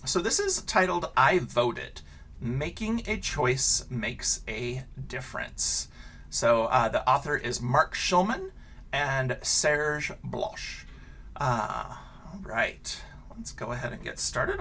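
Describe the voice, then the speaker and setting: A man who is a teacher reading a children's picture book aloud, with expressive questions and casual asides pitched at young listeners. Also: slow pace, vocal fry, slight background noise.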